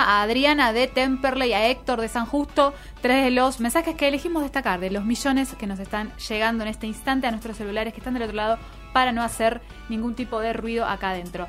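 A woman with a Rioplatense Argentine accent speaks in a highish voice over music. She hosts a live radio show, chatting casually with playful irony. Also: fairly bright tone. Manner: playful, ironic.

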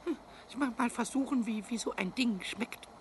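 A man playing a cheeky, childlike little kobold in a children's radio play, shrill and creaky, stammering and repeating words excitedly. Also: normal speed, slight background noise. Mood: curious.

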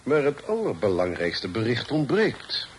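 An actor playing an authoritative older father and magistrate in a radio play, speaking in a formal, measured, commanding way. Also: mid-range voice, wildly swooping pitch. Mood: stern, insistent. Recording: faintly noisy old radio recording.

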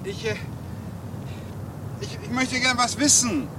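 A man's voice in a radio play: a wry, insecure everyman who speaks haltingly. Clear background noise, like rumbling traffic, runs behind him. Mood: nervous, flustered. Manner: hesitant, stammering in dialogue. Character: Lars, hesitant, wry, insecure everyman narrator and tennis amateur. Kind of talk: radio play narration and dialogue.

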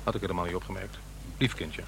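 A man's voice: deep voice, fast, background noise, close to an everyday voice. A man playing a troubled, brooding divorced father in a radio drama, earnest and hesitant. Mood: probing. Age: middle-aged.

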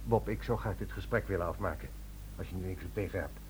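An actor playing an earnest, soothing crisis-line helper in a radio drama, calm and reassuring. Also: male voice, low voice, fast, a little hiss or hum, close to an everyday voice, rough recording. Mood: soothing, hurried.

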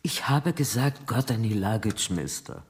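Gaunt camp prisoner, low-key and halting, rough husky tone, expressive pitch, radio play. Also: female voice, heavily acted. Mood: timid, halting. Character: starved, subdued female prisoner back from Siberian camp. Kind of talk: radio drama acting and first-person narration.

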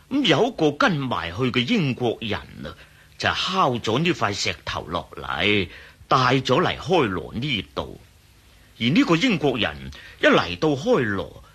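An actor playing a talkative, cautious archaeology professor in a radio drama, with a Hong Kong Cantonese accent and hesitant, muttering delivery. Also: male voice, mid-range voice, pushed and bright, breathy edge, heavily acted. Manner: hesitant, mumbling fillers, explanatory.

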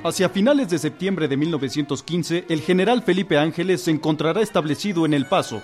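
An actor playing a solemn historical narrator in a radio drama. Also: male voice, a little high, brisk, a little mellow, soft background music, close to an everyday voice.

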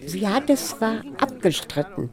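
An actress playing a chatty, mildly fretful older housewife in naturalistic radio drama, speaking haltingly and seeking agreement with short tag questions. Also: female voice, mid-range voice, big pitch swings, a crowd in the background. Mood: uneasy, reminiscing. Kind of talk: naturalistic, improvised-sounding radio drama dialogue.

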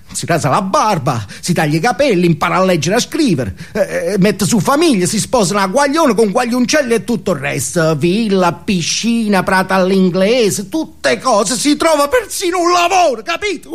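An actor playing a boastful, foul-mouthed biker burnout in a theatrical comic monologue, with a southern Italian, Neapolitan-tinged accent. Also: male voice, high voice, big pitch swings, normal speed, full character voice. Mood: disgusted, incredulous. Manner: ranting, profane, swings between outbursts and confiding storytelling. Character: foul-mouthed, hedonistic middle-aged male biker burnout, volatile and boastful. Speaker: stage actor.

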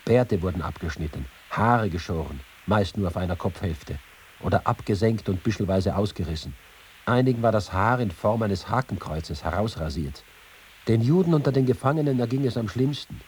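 An actor playing a reporter reading his letters and accounts in a measured, literary way for a radio feature. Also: on the low side, animated, a little mellow, a little hiss or hum, close to an everyday voice. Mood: grave. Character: male left-wing reporter recounting persecution in letters and reports. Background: music.

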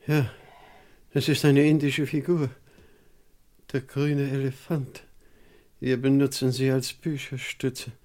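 A man's voice: mid-range voice, flat delivery, takes it slowly, long pauses, a little mellow, natural voice. Guy playing a fussy weak-nerved professor, mid voice, old style crime radio comedy. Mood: faint, bewildered. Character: tall, feeble, fainting male geography professor. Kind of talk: comic crime radio play acting.

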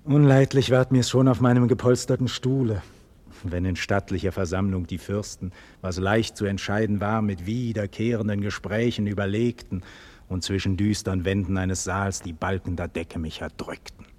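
A man playing a noble imprisoned hero in a 1940s radio play, declaiming a solemn classical monologue in a husky voice. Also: fairly low pitch, fairly even intonation, normal speed, darkish tone, lightly acted. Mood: ardent, soaring. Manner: solemn, declamatory, swelling from murmur to ardour. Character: noble imprisoned hero brooding on death and freedom.

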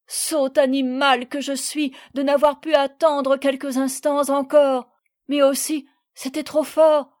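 A man's voice: high voice, a little mellow. A woman voicing a tender, impetuous father and husband back after a long absence, speaking in emphatic, pleading exclamations.

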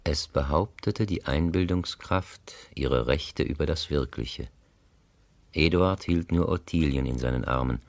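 A man narrating a literary radio play, grave, composed and deliberate, on a close studio mic. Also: extremely low pitch, dry recording. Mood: reflective.

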